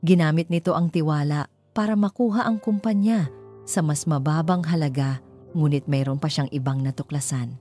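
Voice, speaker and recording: A woman's voice: sits low, normal speed, dark tone. A woman narrating a scripted dramatic love story, reading as a storyteller. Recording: music bed under the voice. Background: background music.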